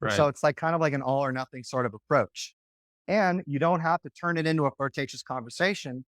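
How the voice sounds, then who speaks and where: A man's voice: fairly high pitch, a little fast, a bit bright, no room sound. A man coaching on dating in a podcast interview, talking casually and often checking in with "right?".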